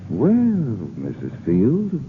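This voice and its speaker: An actor playing a bitter, wisecracking jilted bachelor in 1930s radio drama style, clipped, sardonic and slangy, on a muffled old broadcast. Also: male voice, fairly low pitch, wildly swooping pitch, very slow, noisy background, exaggerated voice. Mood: wry, wounded.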